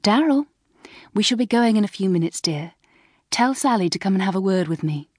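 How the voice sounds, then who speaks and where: A woman's voice: medium pitch, expressive, brisk, a little mellow, no room sound, lightly acted. A composed, indulgent mother in middle age, acted by a woman reader. She speaks in a genteel, motherly way in a storybook, with a dry sound. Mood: brisk.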